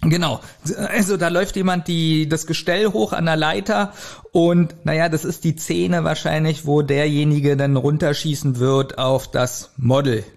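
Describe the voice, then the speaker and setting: A man's voice: on the high side, fairly even intonation, measured pace, gravelly. A man chatting casually as a podcast co-host, informal and reviewing as he goes. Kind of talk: casual chat reviewing an audio drama. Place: remote recording at a computer.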